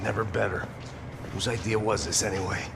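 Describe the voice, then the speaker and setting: An American man playing a wounded, world-weary middle-aged action hero in a video game, gravelly, deadpan and sardonic. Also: on the low side, a little fast, noisy background. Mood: dry sarcasm. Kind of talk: video game cutscene acting. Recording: loud music bed under the voice. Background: loud music.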